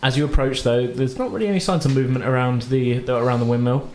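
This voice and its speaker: A man acting as game master, narrating a tabletop roleplaying game in a casual, halting, rephrasing way. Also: medium pitch, darkish tone, slight background noise. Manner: halting, hedging with "sort of", rephrasing.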